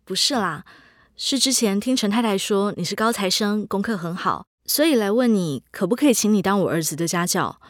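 An actress playing a gentle, considerate middle-aged mother in a scripted audio drama. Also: mid-range voice, expressive, fairly quick, no echo, studio quality, close to an everyday voice. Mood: polite, hopeful. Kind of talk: scripted radio drama dialogue.

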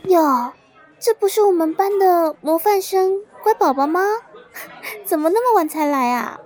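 Woman acting a high-voiced, catty schoolgirl bully. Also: animated, a little slow, a little mellow. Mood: taunting. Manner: taunting, sing-song sneering. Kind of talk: radio drama acting.

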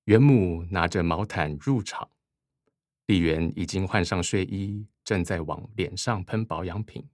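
A man's voice: deep voice, measured pace, darkish tone, no room sound, clean studio sound. A man reading scripted stage directions as the narrator of a radio drama.

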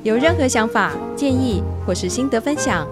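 A woman's voice: fairly high pitch, animated, normal speed. A woman with a Taiwanese Mandarin accent who hosts a radio show, presenting scripted introductions and interviewing a guest. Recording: music playing behind the voice. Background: music.

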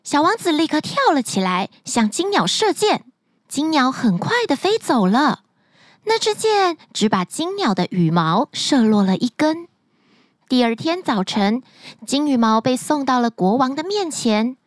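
Woman storyteller, high voice, very lively melody, bedtime tale, dry close recording. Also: dry recording. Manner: expressive, sing-song storytelling.

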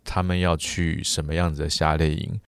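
A man's voice: rumbling low, little pitch movement, normal speed, clean tone, no room sound, studio quality. A middle-aged man co-hosting a casual podcast, speaking frankly and reflectively as a father. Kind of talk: casual conversational podcast chat.

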